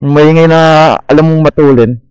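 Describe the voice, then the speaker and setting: A man with a Filipino accent talks in a relaxed, conversational motovlog style. His voice is a bit higher than most men's, and he chats casually as he rides. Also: moderate pace, clear, resonant tone, dull audio. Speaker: motorcycle vlogger. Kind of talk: casual riding chat.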